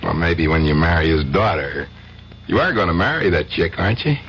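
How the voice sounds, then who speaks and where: An actor playing a brash, possessive acquitted defendant in a 1970s radio drama, with slangy, pushy, familiar talk. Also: male voice, low-pitched, expressive, fairly quick, a bit bright. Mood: needling. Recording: muffled old radio recording, heavy noise.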